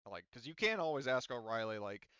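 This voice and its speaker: A man's voice: mid-range voice, very animated, a little fast, a bit bright, clean tone, no room sound. A man running an online tabletop game as game master, narrating in a rambling way and often losing his thread. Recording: dull, muffled audio.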